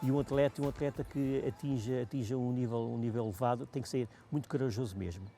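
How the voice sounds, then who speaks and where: Older male coach, quick and mellow but halting, faint background noise. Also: mid-range voice, fairly even intonation, soft background music. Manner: halting, full of repeated words and fillers. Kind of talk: interview recollection.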